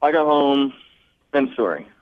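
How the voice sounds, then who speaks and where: A man's voice: mid-range voice, expressive, a little slow, smooth, phone-quality sound. A man talking candidly as a guest on a radio phone segment, blunt and sarcastic in an exasperated way.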